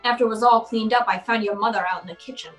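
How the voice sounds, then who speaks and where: A hardboiled private detective acted by a woman with a fairly high voice, telling the case in wry street slang. The style is old-time radio crime drama, with music in the mix. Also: steady tone, fast talker, close to an everyday voice. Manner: slangy, wry, tough-talking. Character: hardboiled wisecracking male private eye, narrating his own case.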